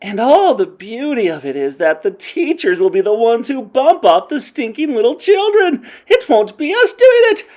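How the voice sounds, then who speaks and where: A woman voicing a frenzied crowd of female witches, screaming in high, shrill voices. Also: lively intonation, measured pace.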